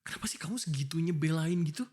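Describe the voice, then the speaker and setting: A man's voice: on the high side, fast talker, a little mellow, slightly rough. A young man with an Indonesian accent, voicing a frustrated, pleading boyfriend in an ASMR roleplay.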